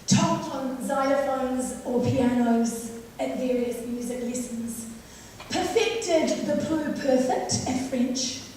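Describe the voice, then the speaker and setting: Hoarse woman in her 50s, high, mellow voice, composed and wry eulogy, heard from a distance in a reverberant church. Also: slow, slight background noise. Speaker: eulogist, eldest daughter. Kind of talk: funeral eulogy. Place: church at a funeral service. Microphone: microphone at a lectern. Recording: echoey hall, picked up from afar.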